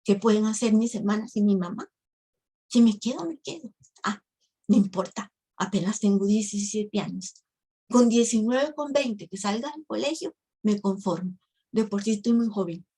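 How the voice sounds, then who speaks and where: A woman's voice: mid-range voice, expressive, normal speed, mellow, breathy edge. A woman, telling her religious testimony emotionally to a women's ministry. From Costa Rica. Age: 50s. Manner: emotional storytelling, addresses the audience as sisters.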